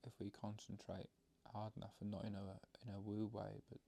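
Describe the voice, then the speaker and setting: A man leading a guided meditation, explaining it gently in halting, unscripted phrases. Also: fairly low pitch, completely flat delivery, gravelly. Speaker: meditation teacher.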